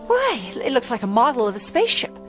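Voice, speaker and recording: A woman's voice: on the high side, big pitch swings, quick pace, fairly bright tone. An actress playing a frightened but stubborn woman from a remote cabin in a 1960s TV drama. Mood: baffled. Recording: vintage TV soundtrack with music.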